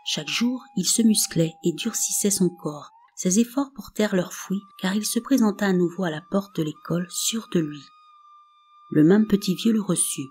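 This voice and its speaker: A woman narrating a folk tale in a calm, measured storybook reading with soft phrase endings. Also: medium pitch, slight background noise. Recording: narration over faint background music.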